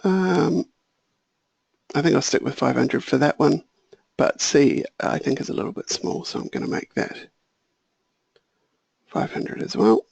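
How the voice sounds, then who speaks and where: A man walking through a software tutorial, thinking aloud and correcting himself, a little hoarse. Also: on the high side, a little slow, long pauses, dry recording. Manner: relaxed, thinking aloud, small self-corrections. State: slightly hoarse, clearing throat. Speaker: software trainer. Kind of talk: screen-share software tutorial.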